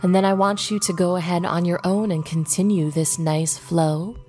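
A woman leading a guided meditation in an unhurried way, pausing between short phrases. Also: lowish voice, steady tone, darkish tone, soft background music.

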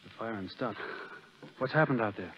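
A weary fugitive, dull old radio. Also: male voice, lowish voice, moderate pace, breathy edge, long reverb tail. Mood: tense, defiant. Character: weary, determined escaped prisoner, a country doctor. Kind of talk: 1930s radio drama acting.

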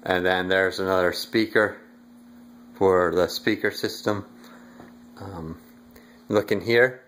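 A young man giving a casual walking tour of his house, relaxed and halting with lots of fillers, recorded on a handheld phone indoors. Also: sits low. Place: inside a house, walking room to room. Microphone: handheld phone camera, filming rooms.

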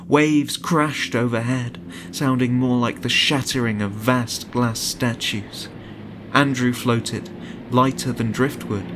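A man narrating a horror story in a dramatic, suspenseful and deliberate audiobook style. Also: mid-range voice, noisy background. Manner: suspenseful, deliberate, theatrical delivery. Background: music.

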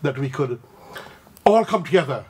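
A man's voice: fairly high pitch, lively intonation, normal speed, darkish tone. An older Guyanese man, a religious community representative, speaking in florid, effusive terms on a TV studio panel.

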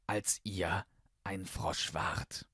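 A man's voice: low voice, fairly even intonation, extremely slow, pushed and bright, husky, no echo, studio quality, exaggerated voice. A man voicing a loyal, devoted male servant in a fairy tale, earnestly reciting verse. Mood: earnest, relieved.

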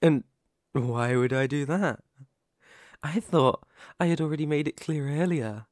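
A menacing werewolf , acted out by a man, speaks right up close in a dry, intimate ASMR roleplay. He takes his time, leaving long pauses between phrases. He is sadistic and possessive, with an old wound underneath the threat. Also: fairly high pitch, darkish tone, no echo, close to an everyday voice. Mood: possessive, taunting. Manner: slow, menacing, with drawn-out pauses. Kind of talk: ASMR roleplay, dramatic monologue.

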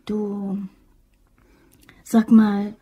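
A woman's voice: medium pitch, monotone, drawn-out words, breathy edge. An actress playing a hesitant, fond, easily alarmed female bookkeeper in a naturalistic German crime radio drama. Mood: anxious, disbelieving. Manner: naturalistic, questioning, often dropping to a hushed voice.

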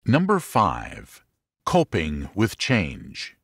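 A man narrating a religious self-help audiobook in a measured, deliberate way with clear phrasing. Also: lowish voice, huge pitch swings, fairly bright tone, no room sound, studio quality.

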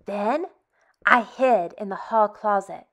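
A woman hosting a storytime for young children, reading and chatting in an animated, expressive, deliberate way. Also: medium pitch. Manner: animated, expressive, deliberate phrasing with dramatic hushes. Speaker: storytime host. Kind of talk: children's read-aloud, addressing young children.

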